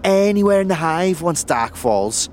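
A male actor plays a scrawny, wary young slum dweller in a fantasy audio drama. The voice sits fairly high and trips over itself with nervous stammers and rough street slang. A light layer of noisy ambience runs behind it. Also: expressive, unhurried. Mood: warning, guarded.

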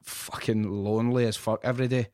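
A Scottish man hosting a podcast, telling stories in an animated, sweary way during casual chat. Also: medium pitch, brisk, no room sound. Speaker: podcast host.

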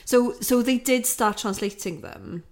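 A woman in her thirties from Wales, a native Welsh speaker, chatting casually as a podcast co-host and asking lots of questions. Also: fairly high pitch. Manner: chatty, quick to laugh and ask questions. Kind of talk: casual chat.

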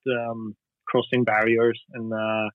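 A Norwegian musician talking casually in an interview, hesitant, with lots of fillers and restarts. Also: male voice, medium pitch, monotone, takes it slowly, no room sound. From Norway. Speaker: musician guest. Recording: dry tinny phone line.

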